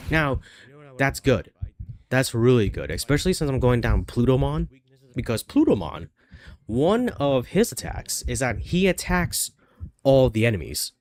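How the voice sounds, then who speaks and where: A man's voice: mid-range voice, very animated, measured pace. Stuffy-nosed man rambling over gameplay. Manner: rambling, thinks aloud with many fillers and restarts. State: stuffy nose from a cold. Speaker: gaming streamer. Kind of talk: casual gameplay commentary.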